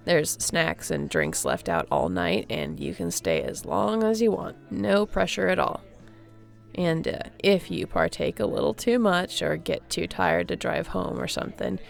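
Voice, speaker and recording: A woman playing a friendly, easygoing young surfer girl in an audio roleplay, chatting casually and welcomingly with a creaky voice and laid-back slang. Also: lowish voice.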